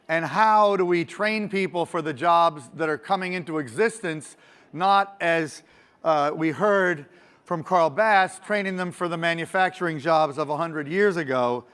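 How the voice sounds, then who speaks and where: A man with a fairly high voice speaks from a conference stage. His voice is loud and his manner is loose and joking, and he corrects himself mid-sentence as he hands the session over. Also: clean tone. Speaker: conference moderator.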